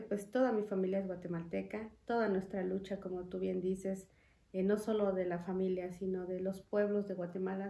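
A young woman from Chiapas, a guest in an interview, speaking earnestly and in a measured way with frequent fillers, at a desk mic. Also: medium pitch, vocal fry, dark tone. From Mexico, Chiapas. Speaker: interview guest, foundation representative. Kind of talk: conversational interview. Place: studio room with wood panels and glass. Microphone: desk mic on stand. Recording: echoey room.

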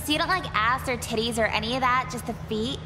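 A woman's voice: a little high, fast, over music, lightly acted, low-quality recording. A woman playing a blunt, jaded young female mall worker in a visual novel game, sarcastic and exasperated. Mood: wary, sarcastic. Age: young adult. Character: sardonic, jaded teenage-to-twenties mall worker girl. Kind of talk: voiced visual novel dialogue. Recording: clear background ambience noise.

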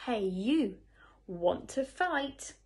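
A woman's voice: a little high, lively intonation, drawn-out words, darkish tone. A woman voicing a small, bad-tempered male ladybird in a storybook, blunt and challenging, drawing out its taunts. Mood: belligerent.

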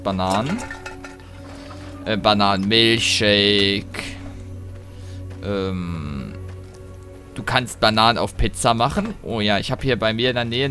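A man's voice: lowish voice, bright, forceful tone. A man giving casual, rambling gameplay commentary with jokey asides. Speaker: let's play host. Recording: music and rain behind voice. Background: game music, rain and thunder.

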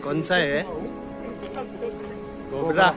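A man's voice: a little high, steady tone, drawn-out words. Chatty young man vlogging outdoors. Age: young adult. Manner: chatty, leans on filler "matlab". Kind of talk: casual walking vlog narration. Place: outdoors on a rocky seashore. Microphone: phone camera held at arm's length. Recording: loud music bed under voice.

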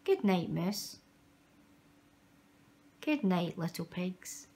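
Woman reading a children's story, very lively pitch. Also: drawn-out words, slight background noise. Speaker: storyteller reading a children's picture book aloud. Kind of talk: expressive read-aloud children's story narration.

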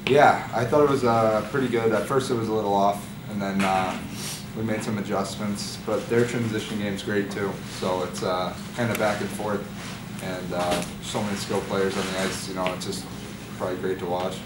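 Low-voiced young man in echoey room. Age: young adult. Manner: relaxed, halting, many fillers. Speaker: college hockey defenseman. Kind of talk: post-game press conference answers. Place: press room with backdrop. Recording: echoey room, far mic, loud hum.

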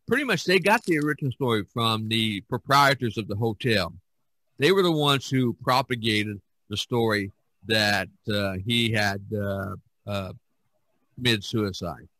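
A man hosting a podcast discussion, talking in an animated, emphatic way with many restarts. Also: medium pitch, low-quality recording. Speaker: podcast host. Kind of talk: casual discussion.

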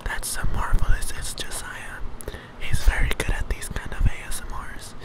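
A young man hosting a joking ASMR video in a breathy whisper, right up against the microphone in a home kitchen. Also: moderate pace, low-quality recording. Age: young adult. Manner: mock-soothing, joking. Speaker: vlogger, host. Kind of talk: ASMR parody, whispered chat to viewers. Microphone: table-top microphone very close to the mouth.

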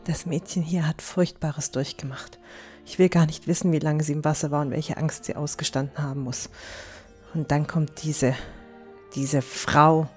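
A woman playing a stern, protective non-human young woman in a fantasy audio drama, speaking gravely and firmly. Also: low voice, steady tone, faint music behind, close to an everyday voice. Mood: upset, grave. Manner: firm, grave, measured.

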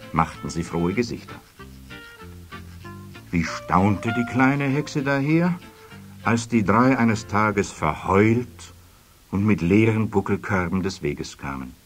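A man's voice: on the low side, big pitch swings, measured pace. A man narrating a children's fairy-tale audio play as a scripted storyteller. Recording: music behind, children's cassette production.

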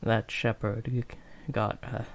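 A man voicing a gentle, protective werewolf in an improvised comfort roleplay, speaking softly, soothingly and a little hesitantly. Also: lowish voice, level tone, takes it slowly, gravelly, full character voice. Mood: gentle, reassuring. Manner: soft, soothing, hesitant, often near whispering. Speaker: roleplay audio performer.

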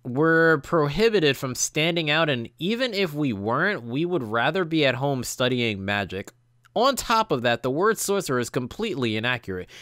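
A man's voice: fairly high pitch, fairly bright tone, dry recording, studio quality. A young adult man reading visual novel text aloud as a gaming host, stumbling now and then and adding casual reactions. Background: game music.

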